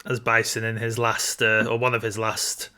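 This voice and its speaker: A man's voice: fairly low pitch, completely flat delivery, normal speed, bright, forceful tone, clear, resonant tone. A man co-hosting a podcast, discussing film casually with tentative, conversational remarks. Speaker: podcast co-host.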